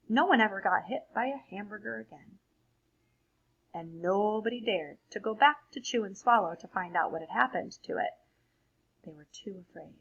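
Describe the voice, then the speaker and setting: A woman reading a storybook aloud expressively for children, like a teacher pausing to explain things to young listeners. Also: medium pitch, a bit bright, breathy edge, highs cut off.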